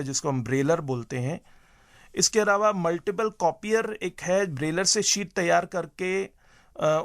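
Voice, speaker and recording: A man giving measured, halting explanations as an interview guest, mixing in English terms. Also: a little high, creaky.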